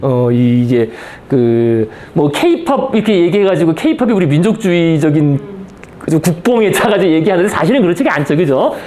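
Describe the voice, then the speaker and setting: A man's voice: on the high side, expressive, brisk, mellow. A man leading a reading group, reading aloud from a book and discussing it, often checking in with his listeners. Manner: checks in with listeners using short tag questions.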